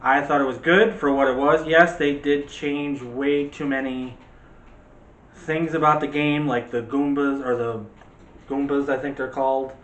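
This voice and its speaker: A man's voice: medium pitch, steady tone, a little slow, fairly bright tone, lo-fi sound. A man hosting a movie discussion show in a casual, chatty and opinionated way. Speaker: host of a movie review show. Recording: echoey room.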